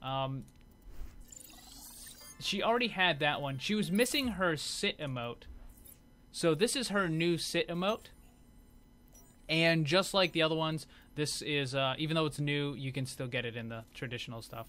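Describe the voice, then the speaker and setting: A man's voice: on the high side, moderate pace, long pauses, sharp tone, soft background music. A man giving casual, off-the-cuff commentary as host of a gaming showcase video.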